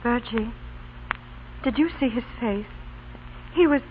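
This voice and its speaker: Casting: composed, quietly defiant wartime wife, muffled vintage radio drama with faint hiss. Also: female voice, a little high, takes it slowly, big-room sound. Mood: relieved. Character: proud, guarded Southern mother, a Confederate officer's wife. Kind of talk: 1930s-40s radio drama acting.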